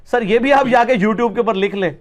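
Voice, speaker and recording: High male voice, Pakistani Punjabi accent, religious scholar, didactic, colloquial, emphatic, informal question-and-answer style. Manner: didactic, colloquial, emphatic, often checking the listener follows.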